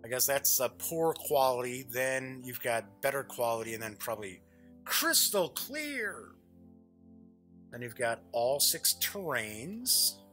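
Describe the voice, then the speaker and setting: A man's voice: medium pitch, bright, forceful tone. A man hosting a board game show, giving casual unboxing commentary in a chatty way, thinking aloud as he goes.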